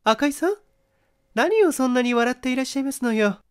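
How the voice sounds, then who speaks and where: A polite, playful young lady, put on by a male narrator for an audiobook tale. The voice is pitched high and feminine, in a close, dry recording. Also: expressive, fairly quick, smooth, dry recording. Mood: puzzled, curious. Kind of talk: dramatized audiobook reading.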